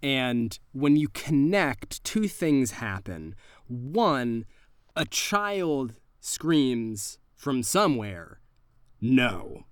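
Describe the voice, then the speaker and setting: Lively man narrating a horror game, dry sound. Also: mid-range voice, extremely slow, clear, resonant tone, no room sound. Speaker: game master.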